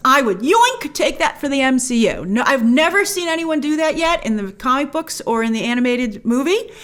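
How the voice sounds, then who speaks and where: A woman's voice: a little high, fairly bright tone. A woman hosting a review show, giving casual, opinionated commentary in an emphatic way, leaning hard on key words. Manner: emphatic, gushing in praise, stresses key words. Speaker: host of a film review show.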